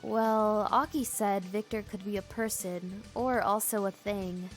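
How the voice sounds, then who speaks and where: An actress playing a persistent, inquisitive and slightly cheeky nurse in a visual novel game. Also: female voice, mid-range voice, steady tone, measured pace, faint music behind, close to an everyday voice.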